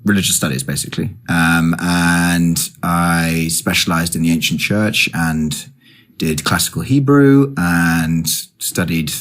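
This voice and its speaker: A man in his twenties with a London accent, telling a story in casual conversation with many restarts and self-corrections, and growing lively when he gets excited. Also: low voice, a little slow. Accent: London English. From England. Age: 20s. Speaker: guest.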